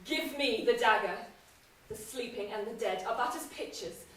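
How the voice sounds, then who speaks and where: A woman's voice: a little high, normal speed, a bit bright, slight background noise, lightly acted. An actress playing a ruthless noblewoman spurring her husband on, delivering intense Shakespearean verse on stage, recorded from far off in an echoey hall. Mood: commanding. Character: ruthless scheming noblewoman, murderer's wife. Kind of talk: stage play performance. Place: theatre stage in a large hall. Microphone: distant room mic.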